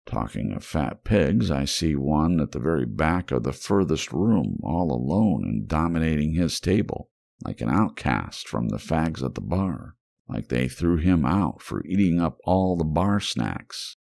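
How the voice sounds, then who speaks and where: A man with an extremely low, gravelly voice narrates noir fiction for an ASMR audiobook. His delivery is brooding and wry, with lively swings in intonation. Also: unhurried, no room sound, clean studio sound.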